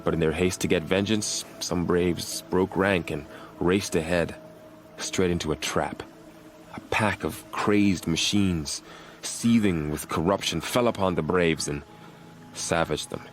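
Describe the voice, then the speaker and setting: Super deep voiced warrior on gate duty, grave and dutiful, game dialogue, bit of music behind. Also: male voice. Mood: somber, earnest. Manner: measured, earnest, grave. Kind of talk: video game voice acting.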